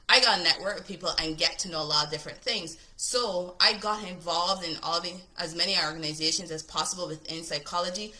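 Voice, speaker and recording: Casting: chatty woman from Barbados, a coach, with a fairly low, bright voice. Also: low-quality recording. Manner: chatty, upbeat, says "right?" often. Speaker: business coach. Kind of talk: casual talk to camera.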